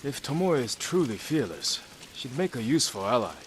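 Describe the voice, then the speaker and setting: An actor playing a determined, earnest samurai warrior in a video game, with a solemn, terse and resolute delivery. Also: male voice, medium pitch, sing-song, moderate pace, noisy background, close to an everyday voice. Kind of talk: dramatic video game acting.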